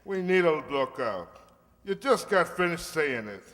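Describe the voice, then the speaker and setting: A man's voice: a little high, sing-song, measured pace, a bit bright. A man playing a cocky drug dealer with a persuasive, chummy manner in an old-time radio crime drama. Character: smooth-talking male drug dealer, placating and cocky. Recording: echoey hall.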